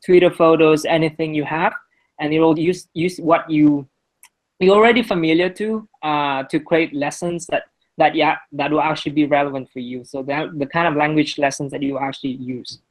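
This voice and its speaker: A man's voice: a little high, steady tone, moderate pace, low-quality recording. Hesitant young man. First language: Vietnamese. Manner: hesitant, many restarts and fillers. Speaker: student presenter. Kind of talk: talk to a class with questions after.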